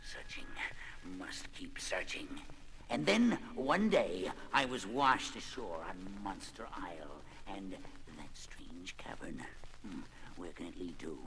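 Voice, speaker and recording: An actor playing a grandiose, vengeful, half-blind underground villain in a theatrical, pompous comic-book audio drama style. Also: male voice, low voice, very animated, slight background noise, exaggerated voice, low-quality recording. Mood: brooding, awed. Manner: theatrical, pompous, archly menacing, drawn-out delivery. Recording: loud music under the voice.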